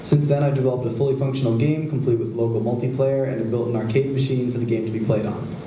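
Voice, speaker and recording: A young man in his late teens talks quickly and formally in an extremely dark, mellow voice. His voice echoes in a large room and is caught from a distance, with faint hiss. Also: mid-range voice, steady tone. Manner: formal, brisk. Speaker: student presenter. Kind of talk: prepared project statement. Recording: echoey hall from a distant mic.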